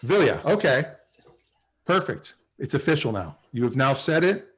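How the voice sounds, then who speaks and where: A man's voice: medium pitch, very animated, moderate pace, fairly bright tone. A man in his forties casually hosting and introducing people on a video call livestream. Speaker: host and writer.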